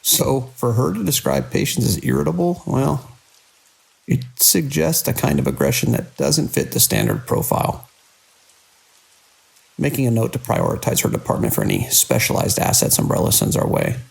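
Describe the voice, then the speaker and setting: A man playing a weary, dedicated hospital director in an audio drama, speaking in a measured, grave way. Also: mid-range voice, very animated, creaky, husky, full character voice, low-quality recording. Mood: grave, concerned. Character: weary, dedicated male hospital director under mounting strain. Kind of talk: audio drama acting, recorded log entries and phone call.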